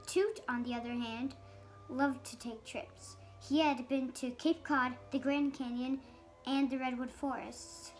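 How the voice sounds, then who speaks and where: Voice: high-pitched, fairly even intonation, unhurried, faint music behind. A child of about seven or eight reading a picture book aloud, stumbling now and then on harder words. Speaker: young reader.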